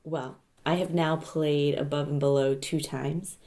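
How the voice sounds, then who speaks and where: A woman discussing a game casually as a podcast panelist, in a measured, deliberate way. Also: sits low, steady tone, mellow.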